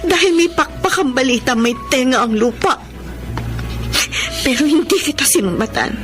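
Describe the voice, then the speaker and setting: An actress playing a devoted, long-suffering wife, earnest and emotional, in a Filipino radio drama. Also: female voice, sits high, lively intonation, normal speed, fairly bright tone. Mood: hurt reproach. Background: dramatic music.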